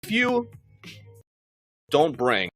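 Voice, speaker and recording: A man's voice: a little high, huge pitch swings, extremely slow, a bit bright, faint music behind. A man of forty chatting casually on a podcast as co-host, emphatic and repetitive, with frequent swearing. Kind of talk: casual conversational podcast chat.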